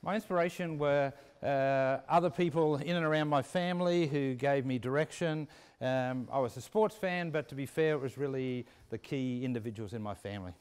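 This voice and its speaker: A middle-aged man with an Australian accent giving measured, unscripted interview answers with some hesitant phrasing. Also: mid-range voice, a little mellow, clean tone. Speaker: business school dean, interviewee. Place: walking through a university building. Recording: echoey indoor hallway.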